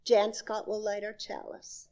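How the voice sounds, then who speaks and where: A woman leading worship, reading a call to worship in deliberate, solemn phrases with pauses. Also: mid-range voice, monotone, warm tone. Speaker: worship leader.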